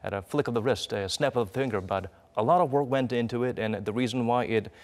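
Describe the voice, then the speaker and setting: A man anchoring a live news discussion, commenting and asking questions in deliberate, reflective, wordy phrasing. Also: mid-range voice.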